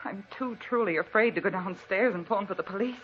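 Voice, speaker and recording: An actress playing a frightened, anxious wife writing in her notebook, with tremulous, halting delivery in a 1940s radio thriller style. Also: mid-range voice, natural voice. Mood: tense, terrified. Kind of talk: 1940s radio thriller acting, narrated diary. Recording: muffled, noisy old radio broadcast recording.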